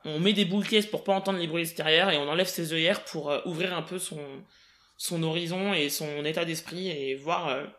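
A young woman from the Paris region in casual podcast conversation, emphatic and quick, often laughing. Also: deep voice, a bit bright. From Paris region, France.

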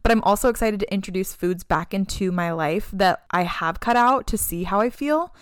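A woman's voice: mid-range voice, normal speed, smooth, dry recording, studio quality. A woman in her mid twenties co-hosting a podcast, chatting in an upbeat way with lots of "like" fillers. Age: 20s. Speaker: podcast co-host. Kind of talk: casual chat.

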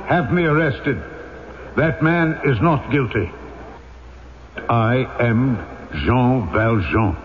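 A man's voice: lowish voice, a little hiss or hum, natural voice. A man playing a noble, resolute former convict turned mayor in an old-time radio drama, solemn and earnest as he tells and acts out his story. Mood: resolute, impassioned. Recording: tinny, narrow-band old radio recording with music.

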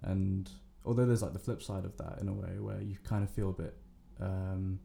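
A nineteen-year-old man speaks as a podcast guest, hesitant and full of restarts. His voice is low and gravelly. A faint hiss sits behind it. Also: little pitch movement, moderate pace, mellow. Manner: hesitant, many ums and restarts. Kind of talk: casual podcast chat.

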